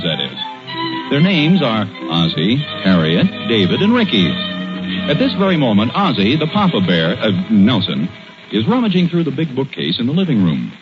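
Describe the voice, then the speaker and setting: Animated American radio announcer over loud music. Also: male voice, lowish voice, moderate pace, highs cut off. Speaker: announcer and narrator. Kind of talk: 1940s radio scripted announcing and storybook narration.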